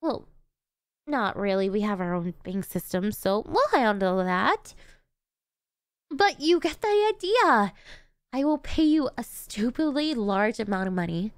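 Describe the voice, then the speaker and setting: Woman acting slow-talking, obsessive supervillainess. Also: mid-range voice, big pitch swings, clean tone, no room sound, clean studio sound. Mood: eager, persuasive. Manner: theatrical, coaxing, intimate. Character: obsessive female supervillain, doting, menacing, easily flustered. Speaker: voice actress. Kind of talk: ASMR roleplay acting, addressing the listener.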